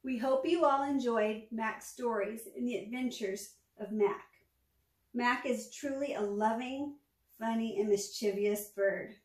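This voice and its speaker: A woman reads a picture book to young children in a high voice. She takes her time and tells it expressively. The sound is roomy, picked up at some distance from the microphone. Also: unhurried, a little mellow. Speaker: storytime reader, former teacher. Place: small furnished room at home, indoors. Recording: echoey room, distant microphone.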